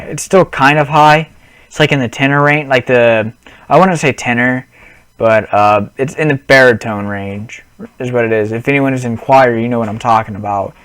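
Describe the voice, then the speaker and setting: A man's voice: medium pitch, a bit bright, no echo. A young man on a headset mic, rambling through a casual gaming vlog with lots of restarts and apologies. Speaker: gaming vlogger.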